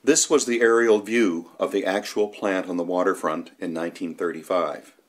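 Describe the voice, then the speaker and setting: A man's voice: sits low, fairly even intonation, normal speed. A man reading scripted documentary narration, including old newspaper reports.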